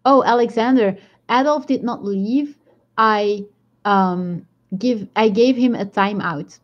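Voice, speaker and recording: A woman's voice: medium pitch, takes it slowly. A woman with a strong Belgian accent chatting casually on a live stream, rambling and laughing often as she answers viewer comments. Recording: dull lo-fi stream audio.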